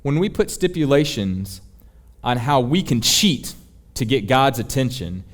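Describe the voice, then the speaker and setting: A man's voice: mid-range voice, sing-song, measured pace. A man preaching a sermon in an emphatic, rhetorical and confessional manner. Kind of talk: sermon, teaching.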